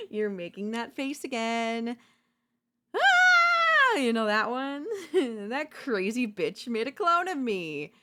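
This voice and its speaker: A woman playing an obsessive, domineering yandere in a dark ASMR roleplay, seductive and smug, slow and teasing, slipping into whispers. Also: a little high, huge pitch swings, a little hiss or hum, exaggerated voice. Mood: manic glee. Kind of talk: dark ASMR audio roleplay, intimate dramatic acting.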